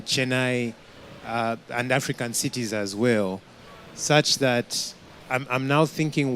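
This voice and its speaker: A man with a Zambian accent asks a question at a panel event, deliberately and with pauses. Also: medium pitch. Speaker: audience questioner. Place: conference pavilion with small audience. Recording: audible room noise at event.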